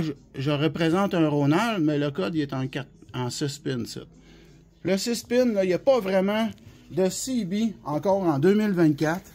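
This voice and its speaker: Casting: man, Quebec French accent, notably high voice with a rough husky edge, informal rambling product demonstrator. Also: darkish tone. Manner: colloquial, rambling, hands-on explaining. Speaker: CB radio specialist and seller presenting products.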